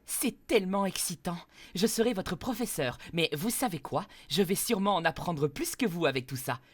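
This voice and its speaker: Man voicing an earnest, animated young woman teacher. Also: high voice, moderate pace, no echo. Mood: hopeful, eager. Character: earnest, encouraging young female teacher of goblins. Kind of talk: animated comedy fandub.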